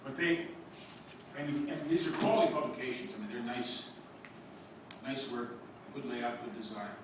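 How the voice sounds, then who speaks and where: Man lecturing in a very echoey room. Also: medium pitch. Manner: halting and conversational, with asides. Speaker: speaker giving a convention presentation. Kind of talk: informal lecture to a live audience. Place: convention meeting room with live audience. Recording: echoey, noisy, dull room recording from far off.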